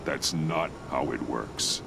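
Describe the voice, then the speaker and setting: An actor playing a stern, grim masked vigilante in a video game, with gravelly, terse, slow delivery. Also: male voice, very deep voice, noisy background, exaggerated voice.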